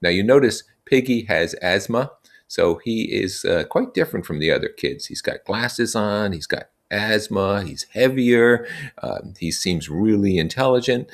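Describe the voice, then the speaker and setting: A man with a low, gravelly voice reads a story aloud and stops to explain it informally. His intonation moves widely, keeping the narration expressive. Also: a little slow. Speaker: reader and teacher explaining a novel. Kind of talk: story read aloud with casual explanatory comments.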